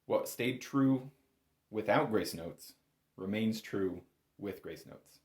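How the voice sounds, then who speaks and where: A man's voice: fairly low pitch, animated, slow pace, a little mellow. A man giving an informal music lesson as an instructor, often correcting himself and stressing key words. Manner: hedging, self-correcting, emphatic on key words. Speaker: bagpipe instructor. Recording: roomy, echoing room sound.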